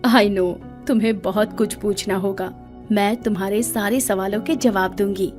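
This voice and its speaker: An actress playing a gracious, wealthy middle-aged aunt in a Hindi audio drama, speaking warmly and politely with English phrases mixed in. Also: female voice, mid-range voice, fairly even intonation, unhurried, close to an everyday voice. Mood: warm, reassuring. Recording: music bed behind the voice.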